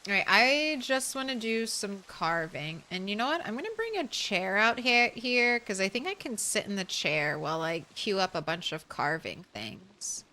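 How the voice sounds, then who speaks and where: A woman streamer chatting softly and casually with her viewers while playing a game, thanking them as she goes. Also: mid-range voice, moderate pace, few pauses. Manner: soft, relaxed, thanks viewers. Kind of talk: casual live-stream chat while gaming.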